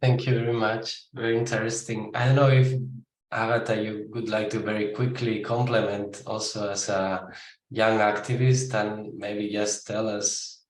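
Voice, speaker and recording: A man's voice: on the low side, a little mellow. A man moderating an online panel, handing over politely with brief questions. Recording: echoey, distant mic with faint noise.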